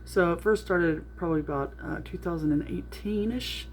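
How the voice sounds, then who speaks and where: A middle-aged woman with a naturally loud voice, talking through a casual video diary with lots of ums and restarts. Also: lowish voice, moderate pace, a little hiss or hum. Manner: rambling, with frequent ums and restarts. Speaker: vlogger.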